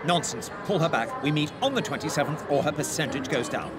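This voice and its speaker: A man's voice: a little high, animated, brisk, loud music behind the voice, over a noisy crowd, lightly acted. An actor playing a miserly, irritable businessman, curt and scornful, in a modern audio drama. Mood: irritated. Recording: noisy urban and vehicle ambience behind.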